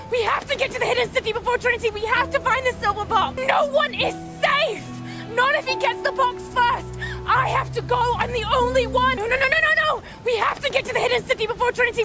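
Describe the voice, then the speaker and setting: Urgent female game adventurer, very high voice, anguished, music underneath. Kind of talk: dramatic video game acting.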